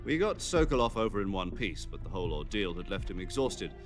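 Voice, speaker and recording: An actor playing a seasoned intelligence major in a video game, briefing an agent in a deliberate, formal manner. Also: male voice, deep voice, sing-song, soft background music. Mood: serious, explanatory.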